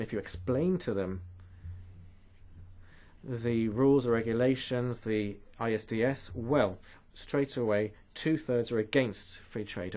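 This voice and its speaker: Canadian guy making an urgent conference speech, mid-pitched, plain voice. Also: darkish tone. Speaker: conference speaker.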